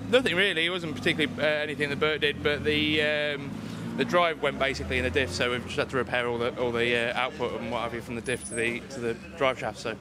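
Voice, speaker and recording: A man's voice: medium pitch, flat delivery, fast, a crowd in the background. A man in his thirties, a race mechanic, answering casually into a handheld microphone under a busy awning. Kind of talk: casual interview chat. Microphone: handheld interview microphone. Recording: clear paddock background noise.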